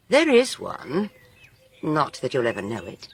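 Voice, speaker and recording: An actor playing a sly, teasing friend in a radio drama, with a husky voice. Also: male voice, mid-range voice, huge pitch swings, takes it slowly, a bit bright, heavily acted. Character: sly, teasing house-owning friend with a ghost tale.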